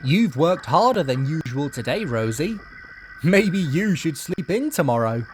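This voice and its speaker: A man's voice: fairly high pitch, very animated, a little slow, slight background noise, voice pushed up. A man playing a caring male station master in a storybook dub, speaking in an encouraging way. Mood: kindly.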